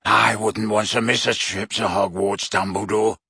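Man doing a raspy bloodthirsty werewolf, deep, slow, drawn-out growl, dry. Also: level tone, sharp tone, no room sound, heavily acted. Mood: menacing. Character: savage male werewolf, menacing and bloodthirsty.

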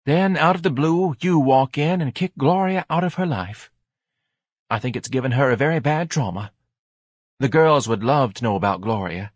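A man's voice: mid-range voice, animated, normal speed, breathy edge, no room sound, studio quality, pitched above the performer's own voice. A man voicing a self-righteous, melodramatic middle-aged wife in an audiobook, nagging and reproachful. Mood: accusing. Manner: indignant, reproachful, dramatic.

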